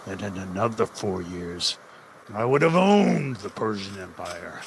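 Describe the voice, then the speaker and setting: An actor playing a proud, cruel slave trader in an audio drama, vengeful and deliberate, with theatrical threats. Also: male voice, lowish voice, expressive, vocal fry, noisy background. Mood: boastful, menacing. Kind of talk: audio drama villain acting.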